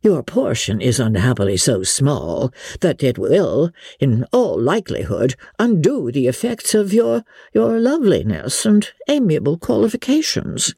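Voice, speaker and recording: A woman's voice: low-pitched, big pitch swings, unhurried, vocal fry, hardly any pauses, dark tone, husky, no echo, studio quality, heavily acted. A woman voicing a pompous, self-satisfied male suitor in a literary audiobook, long-winded and unctuous. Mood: smug, condescending.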